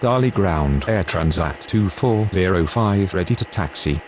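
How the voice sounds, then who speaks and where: A man's voice: low-pitched, animated, a little slow. A man reading clipped air traffic control radio calls while playing a flight control game. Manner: clipped, formulaic radio calls with call signs. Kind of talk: reading aviation radio phraseology.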